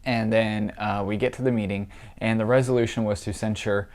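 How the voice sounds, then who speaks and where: A man's voice: on the low side, steady tone, brisk, darkish tone. A young man talking in casual political commentary, congested and nasal from allergies, opinionated and scornful with many fillers. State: congested, stuffy nose from allergies.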